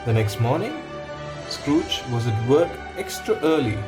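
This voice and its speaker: A man reading a picture book aloud to children, pausing to explain things and put questions to them. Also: medium pitch, lively intonation, music in the background. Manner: pauses to ask and explain to young listeners. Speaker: storybook reader. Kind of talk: read-aloud narration for children.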